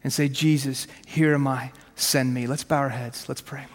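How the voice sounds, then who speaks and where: A man in his thirties whose first language is Farsi, preaching a sermon from his own story in a punchy, conversational way, with quick rhetorical questions and self-mocking jokes. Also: medium pitch, fairly even intonation. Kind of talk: sermon with personal testimony. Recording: long hall reverb.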